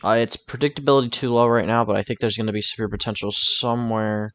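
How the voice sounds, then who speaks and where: A man's voice: on the low side, fairly even intonation, quick pace, fairly bright tone. A man talking informally through a weather forecast over maps, thinking aloud in a casual, tentative way. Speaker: weather forecaster presenting his own video.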